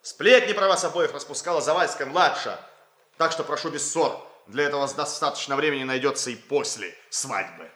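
Bossy young student in 60s radio comedy, high voice all over the place, scolding, echoey. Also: male voice, expressive, fairly quick, bright, forceful tone. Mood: brisk, authoritative. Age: young adult. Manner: emphatic, scolding, exclamatory. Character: brash, bossy, teasing young male student friend. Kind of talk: 1960s radio comedy acting. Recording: roomy 1960s radio studio recording.

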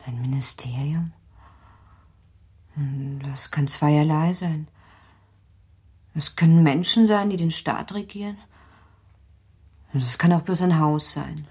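An actress playing a girlish yet guarded young wife of eighteen in a radio play, with a husky, breathy voice. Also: low voice, slow pace, pauses often, warm tone, full character voice. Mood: hushed, anxious. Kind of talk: German radio play acting.

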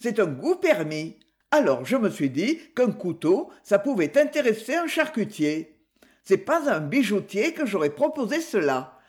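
A man's voice: high voice, sing-song, normal speed. A man playing a shabby tramp with an alcohol-worn voice who rambles through slangy excuses. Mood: excusing. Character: shabby old male tramp, sly and easygoing.

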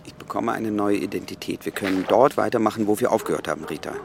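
An actor playing an earnest young male intelligence informant in a German radio drama, speaking deliberately and insistently. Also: on the low side, creaky, a little hiss or hum. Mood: subdued.

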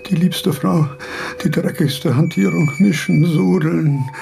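An actor playing a frail, confused old philosopher, halting and repeating himself in a radio drama. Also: male voice, fairly high pitch, steady tone, gravelly, noisy background, heavily acted. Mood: delirious, frightened. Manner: halting, repeating words, agitated.

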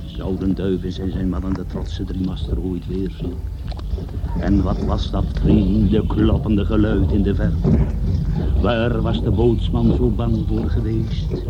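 A man narrating a radio adventure play as scripted, suspenseful storytelling. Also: fairly low pitch. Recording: dull, noisy old radio recording.

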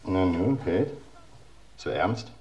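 An actor playing a weary, solicitous middle-aged bookkeeper in a radio crime drama, hesitant and confiding. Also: male voice, sits low, animated, slow, darkish tone, muffled recording, slight background noise, heavily acted. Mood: concerned. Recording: roomy radio drama recording, faint music.

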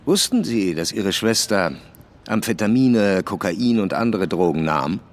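An actor playing a brusque police commissioner in a radio crime drama, questioning in terse, pointed phrases. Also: male voice, on the low side, lively intonation, unhurried. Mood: probing. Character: brusque, probing male police commissioner leading a murder investigation. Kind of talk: German radio drama acting.

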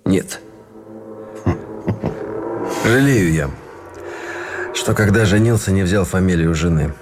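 An actor playing a weary, principled Russian lieutenant in captivity in a radio drama, speaking with bitter, formally polite restraint. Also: male voice, low-pitched, slow, music in the background. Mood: bitter regret.

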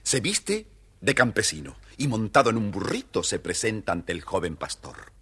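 Un hombre que narra un cuento infantil de forma teatral y juguetona, con voz ronca y velada. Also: male voice, lowish voice, huge pitch swings, normal speed, music in the background. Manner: theatrical, playful. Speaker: storyteller narrator. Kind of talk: expressive scripted children's story narration.

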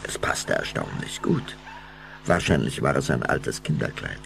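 A man narrating a radio play as a grave, expressive storyteller. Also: extremely low pitch, unhurried, gravelly, a little hiss or hum, exaggerated voice. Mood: reflective.

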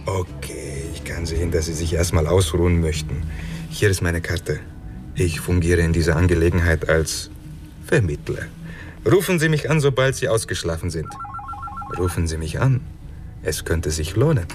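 A man's voice: low voice, lively intonation, moderate pace, music in the background. An actor playing a polite, formal middleman for shady creditors in a German radio crime drama, talking in roundabout phrases with hesitant asides. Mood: smooth, insinuating. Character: suave, courteous male go-between for shady creditors.

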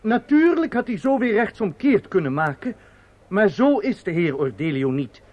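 A man's voice: high voice, very animated, moderate pace, lo-fi sound. An actor in a radio drama playing a flustered, self-satisfied male opera impresario, muttering and hesitant or pompously speechifying.

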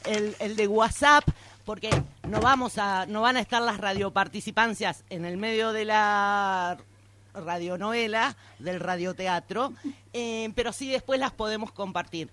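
A woman's voice: mid-range voice, unhurried, fairly bright tone, a little hiss or hum. Casual Argentine woman hosting radio. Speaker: radio host. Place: radio studio.

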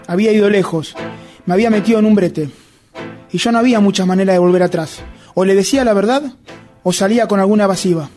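A man with an Argentine accent acting a brooding, obsessively jealous narrator in a radio drama, speaking deliberately and introspectively. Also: high voice, warm tone, faint music behind, natural voice. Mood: tense, confessional. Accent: Rioplatense Argentine Spanish. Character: obsessive jealous man confessing his secret pursuit of a rival.

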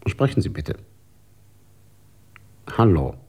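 An actor playing a businesslike police sergeant on station phone duty in a German radio drama, formal and matter-of-fact. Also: male voice, low voice, sing-song, takes it slowly, a little mellow, slightly rough, full character voice.

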